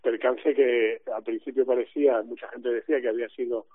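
A man's voice: mid-range voice, fairly even intonation, brisk, breathy edge, dry recording. A man discussing basketball casually as an analyst, heard over a telephone. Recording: dry, tinny telephone line.

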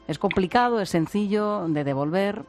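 A radio host leading an interview, brisk and encouraging, dropping frequent short acknowledgements. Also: medium pitch, expressive, soft background music. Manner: brisk, many short backchannel "ujú"s.

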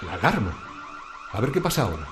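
Grumbling, animated Spanish night watchman. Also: lowish voice, fast talker, noisy background. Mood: grumbling, wary. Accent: Spanish from Spain. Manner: colloquial, muttering to himself, exasperated. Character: grumbling, kindly night watchman, weary and talkative. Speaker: night security guard. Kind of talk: radio drama acting.

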